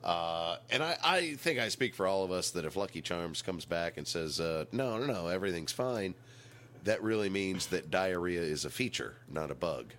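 A man's voice: low voice, lively intonation, normal speed, hardly any pauses, a bit bright. A middle-aged man hosting a podcast in casual comedic chat, with wry mock-announcer asides, on a noisy recording. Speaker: podcast host.

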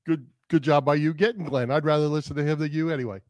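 A man's voice: on the high side, fairly even intonation, fast, darkish tone, no room sound. A man co-hosting a sports show in a casual, conversational style.

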